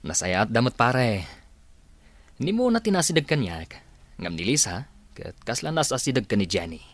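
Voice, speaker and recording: A man acting as a village gossip with lively, swooping intonation. The recording is dry and close, in the style of a radio comedy-drama. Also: mid-range voice, moderate pace, no echo. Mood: joking. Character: gossiping village man chatting with his buddy.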